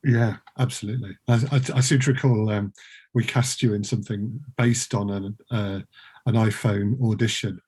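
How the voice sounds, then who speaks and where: Husky-voiced man asking questions. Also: lowish voice, fairly even intonation, normal speed, a little mellow. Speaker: interviewer, podcast producer. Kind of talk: casual interview.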